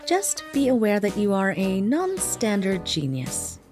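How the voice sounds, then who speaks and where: A woman playing a calm, perceptive teacher. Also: medium pitch, a little slow, soft background music. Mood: composed. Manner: composed and firm.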